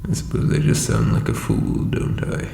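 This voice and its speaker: A man playing an ancient, insecure undead lich king in a comedic audio roleplay, with a breathy croak and hesitant, pompous phrasing. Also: moderate pace, warm tone, lightly acted. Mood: sheepish, explaining. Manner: hesitant, self-doubting, theatrical formal phrasing. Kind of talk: scripted comedic audio roleplay addressed to listener. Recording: reverberant echoey hall, faint background noise.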